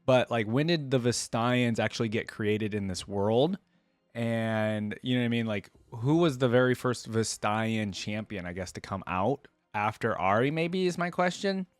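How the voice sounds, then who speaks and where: A man's voice: medium pitch, normal speed, no echo. A man giving casual reaction commentary as a video host, rambling as he thinks aloud. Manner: rambling, thinks aloud, repeats "like" and "you know".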